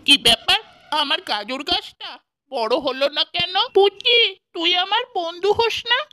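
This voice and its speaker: A woman voicing a sly, whining fox in a children's cartoon, with a sharp, exaggerated delivery. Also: very high voice, very animated, moderate pace, full character voice.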